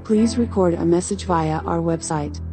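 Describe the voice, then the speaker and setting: A woman's voice: lowish voice, moderate pace, dark tone, soft background music. A woman reading scripted podcast credits as an announcer.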